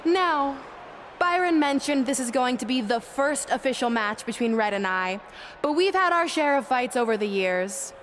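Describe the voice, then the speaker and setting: A woman's voice: high voice, lively intonation, moderate pace, a bit bright, slight background noise. A woman playing a cocky, taunting wrestling star in a video game, boastful and mocking. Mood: smug, condescending. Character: cocky, taunting female wrestling star and self-styled hero.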